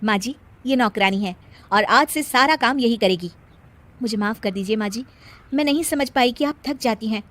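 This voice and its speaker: A woman voicing a harried young working daughter-in-law in a cartoon story, in an expressive way. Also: a little high, normal speed, natural voice. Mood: gentle, considerate. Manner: whiny, exasperated, expressive.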